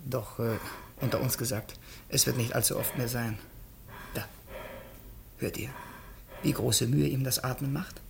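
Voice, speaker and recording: An actor playing a scheming, flattering male servant in a radio play, obsequious, ironic and insinuating. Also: mid-range voice, measured pace, vocal fry, long pauses, background noise. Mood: confiding, sly. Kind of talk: theatrical German radio play acting.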